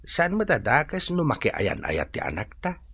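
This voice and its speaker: Husky, animated older husband, radio drama. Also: male voice, on the high side, normal speed, full character voice. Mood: evasive, teasing. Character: older husband and father, evasive, teasing.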